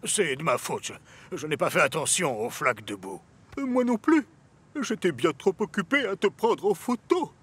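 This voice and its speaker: An actor voicing a hesitant, apologetic visiting photographer in a dubbed children's cartoon. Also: male voice, fairly high pitch, very animated, normal speed, a bit bright, husky, heavily acted.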